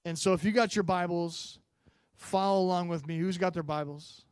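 A man in his forties preaching a Sunday sermon on a handheld microphone, chatty and full of fillers, rising loud on key points and dropping low and soft in prayer. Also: fairly even intonation, normal speed, clean tone, no echo. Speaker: pastor. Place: church stage, medium-sized room. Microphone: handheld mic.

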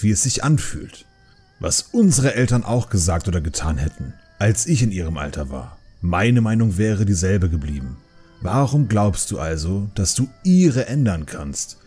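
Man voicing a deep, husky pandaren uncle. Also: big pitch swings, unhurried, dark tone, soft background music, full character voice. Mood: earnest, firm. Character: earnest, reasoning older male pandaren brewmaster, protective uncle.

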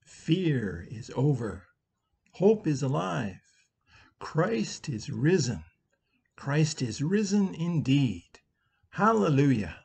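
Middle-aged man, husky voice, slow liturgical delivery, home webcam. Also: a little high, sing-song, darkish tone. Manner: measured, unhurried. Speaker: worship leader. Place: home room. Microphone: webcam at close range.

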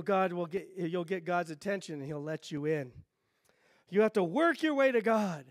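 A middle-aged male pastor preaches from a church pulpit in a fairly high voice, teaching with lively swings between loud emphasis and quiet asides. The sound is close and dry, with little room echo. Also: creaky. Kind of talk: sermon. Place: church sanctuary stage.

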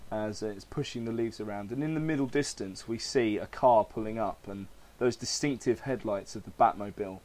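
A man's voice: lowish voice, a little fast, few pauses, a little mellow. Male podcaster with a slightly crackly throat. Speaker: podcast host. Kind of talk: casual podcast chat and reading aloud.

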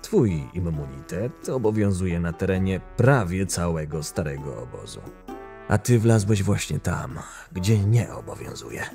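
A man's voice: sits low, very animated, a little slow, vocal fry, warm tone, soft background music, exaggerated voice. A man playing a proud, short-tempered, cynical camp enforcer who narrates his own tale in a fantasy audio drama, sardonic and menacing. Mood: cool menace.

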